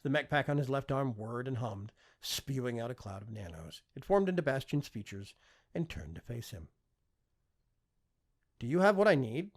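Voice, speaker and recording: A man narrating a fiction audiobook with expressive, scripted storytelling delivery. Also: medium pitch, moderate pace.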